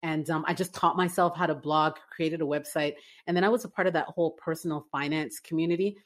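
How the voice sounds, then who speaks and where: A woman from Canada in her forties, a business-owner guest telling her story in a relaxed interview, with lots of fillers and restarts. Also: low-pitched, fast talker. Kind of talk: casual interview conversation.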